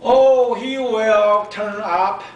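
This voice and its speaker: A man voicing a busy, impatient mother in a children's storybook, brisk and emphatic. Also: high voice, darkish tone, muffled recording, voice pushed up. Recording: echoey room, distant mic, background noise.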